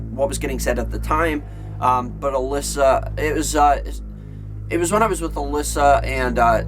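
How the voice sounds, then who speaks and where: Casual male vlogger over prominent music. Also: mid-range voice. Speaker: video host. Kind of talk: casual chat to viewers.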